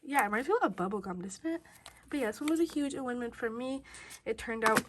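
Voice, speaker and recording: A woman's voice: a little high, lively intonation, fast. Bubbly young woman with a stuffy cold. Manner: bubbly, upbeat, repeats "you guys". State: congested from a head cold. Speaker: toy and slime channel host. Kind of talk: casual tutorial vlog. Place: bedroom, indoors. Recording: loud air conditioner hum. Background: air conditioner noise.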